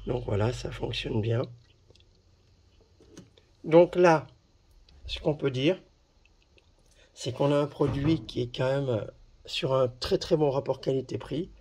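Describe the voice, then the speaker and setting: Guy doing a hands-on review, slow talker w lots of pauses, bit of noise behind him. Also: mid-range voice, darkish tone. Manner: halting, pausing while handling items. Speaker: video presenter reviewing a product. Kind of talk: casual hands-on product demonstration.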